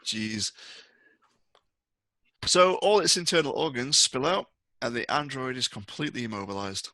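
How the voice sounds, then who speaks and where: A man acting as game master, narrating a tabletop game in a casual, animated way. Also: medium pitch, moderate pace, dry recording.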